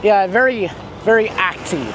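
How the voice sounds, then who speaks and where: A woman vlogging casually on a road trip, joking and self-mocking, her voice hoarse and fading from illness. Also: mid-range voice, moderate pace, background noise. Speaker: travel vlogger.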